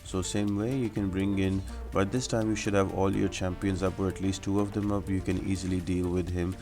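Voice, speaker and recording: A man's voice: sits low, little pitch movement, a little fast, music in the background. A man giving instructional, explanatory commentary as the host of a video game guide.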